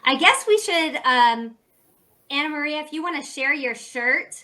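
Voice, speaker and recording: Upbeat young female podcast host, bright and slightly high voice, casual chatter. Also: moderate pace. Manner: upbeat, chatty, laughs often. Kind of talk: casual video chat.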